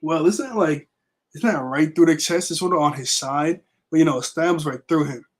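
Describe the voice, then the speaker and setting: A young man with a raspy voice left over from being sick, casually recapping a manga chapter with lots of fillers. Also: on the high side, normal speed. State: raspy voice recovering from illness. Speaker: manga review vlogger. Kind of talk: casual chat.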